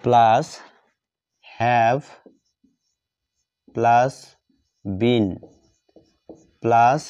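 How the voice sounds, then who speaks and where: Very slow, mellow Bengali-accented man teaching. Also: medium pitch, steady tone, clean tone. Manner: deliberate, repeats phrases piece by piece. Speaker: English grammar teacher. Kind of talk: dictated grammar lesson, written out step by step. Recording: dull lo-fi microphone sound.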